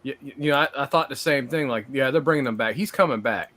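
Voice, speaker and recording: A man's voice: mid-range voice, quick pace, fairly bright tone. A man chatting casually as a panelist on a podcast. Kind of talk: casual chat.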